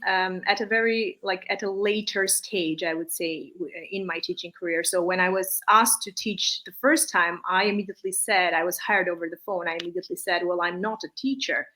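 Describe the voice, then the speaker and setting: Woman, English speaker from Armenia, bright sharp tone, slightly low pitch, hesitant phrasing, interview answers. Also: rough recording. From born in Armenia, raised partly in Malaysia. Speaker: interview guest, English teacher.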